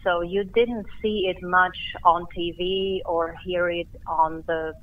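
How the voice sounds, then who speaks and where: A middle-aged woman from Slovakia speaks with a Slovak accent, recounting her past in a measured, thoughtful manner. Her voice sits a little low. She comes through a thin, tinny telephone line. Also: fairly even intonation. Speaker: guest musician. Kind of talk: phone interview.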